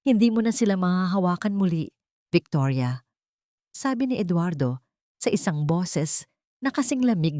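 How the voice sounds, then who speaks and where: A woman's voice: low voice, darkish tone, dry recording, clean studio sound. A woman reading a scripted story as an audiobook narrator in a dramatic style.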